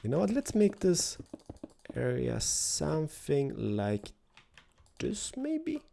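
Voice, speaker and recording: Casual male build host with a cold, lively swooping voice, mellow tone, playful self-mocking chatter. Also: fairly high pitch, takes it slowly, vocal fry, slight background noise. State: has a cold.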